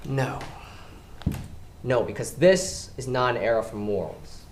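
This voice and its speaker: A man's voice: mid-range voice, lively intonation, a little slow, echoey room. A man in a stage play acting as a persuasive criminal schemer, coaxing and commanding in a pointed way. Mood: pressing, coaxing. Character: smooth, persuasive male criminal schemer recruiting a reluctant worker. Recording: noisy live stage recording.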